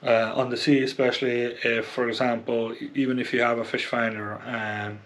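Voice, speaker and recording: A man's voice: mid-range voice, flat delivery, moderate pace, a bit bright. A man giving a casual, unscripted product review in a hesitant, rambling way, often repeating himself. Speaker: product reviewer and kayak angler. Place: indoors at home near a computer.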